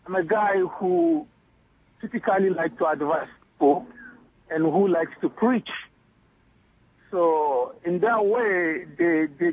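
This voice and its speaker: A male caller from Ghana with a Ghanaian accent and a somewhat husky voice. He speaks earnestly and pauses often to find his words. The sound is dry and close. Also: sits high, no room sound, narrow, tinny audio. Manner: earnest, hesitant, many uh fillers. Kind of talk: phone-in conversation.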